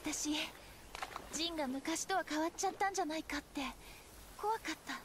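Very high-pitched, sweet girlish heroine. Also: fairly quick, background noise. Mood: tender relief. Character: sweet, earnest, cheerful young martial-artist girl. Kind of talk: Japanese video game cutscene acting.

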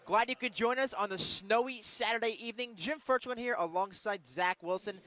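High-pitched, excitable basketball play-by-play man. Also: brisk. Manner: excitable, punchy calls. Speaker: radio play-by-play announcer. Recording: arena crowd and court noise behind. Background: basketball game in an arena.

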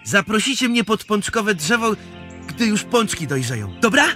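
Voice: mid-range voice, big pitch swings, normal speed, bright, forceful tone, soft background music. An actor playing a polite, slightly hesitant schoolboy in a children's audio drama. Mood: reluctant.

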